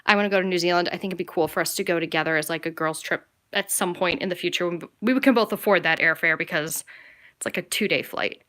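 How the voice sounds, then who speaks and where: Young american woman vlogger, bright forward voice, chatty and rambly, casual q&a to her viewers. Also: on the low side, fast talker. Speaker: travel vlogger and travel agent. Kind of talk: casual live Q&A chat to viewers.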